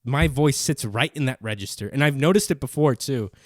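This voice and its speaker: A man's voice: medium pitch, lively intonation, a little fast, no room sound, studio quality. A man chatting casually as a podcast host, rambling conversationally and swearing freely. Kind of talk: casual chat.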